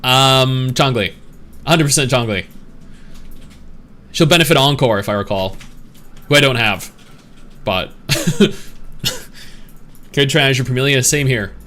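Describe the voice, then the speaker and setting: A man's voice: medium pitch, takes it slowly, pauses often, pushed and bright. A man streaming, chatting casually and opinionated as he reads and answers his live chat.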